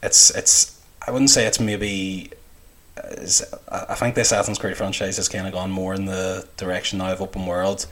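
A man's voice: sits low, little pitch movement, moderate pace, fairly bright tone. A man co-hosting a podcast in casual conversation. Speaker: podcast co-host. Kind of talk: casual chat.

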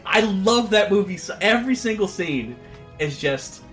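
Enthusiastic male podcast host, animated delivery, light music under the voice. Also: high-pitched. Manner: enthusiastic, animated, quick to laugh. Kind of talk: casual chat.

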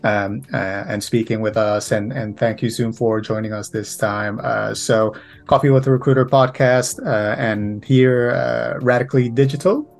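A man's voice: mid-range voice, moderate pace, gravelly. A man hosting a recruitment podcast, opening the interview with lots of hesitant fillers. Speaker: podcast host.

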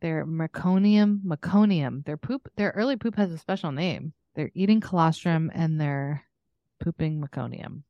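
Low-voiced woman in rambling, casual chat. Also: normal speed, dry recording, lo-fi sound. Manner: informal, rambling, thinking out loud. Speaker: podcast co-host. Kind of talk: casual unscripted chat with a friend.

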